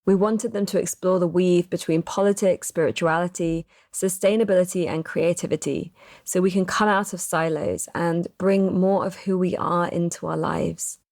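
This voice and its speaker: A woman hosting a podcast, reading a scripted welcome in a calm, measured, earnest way. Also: on the low side, no room sound, studio quality. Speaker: podcast host.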